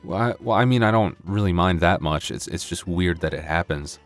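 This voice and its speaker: A man with a low voice talks casually to his listeners as an audio roleplay creator. He rambles in a jokey, self-deprecating way, like an informal outro chat. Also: fairly quick, faint music behind.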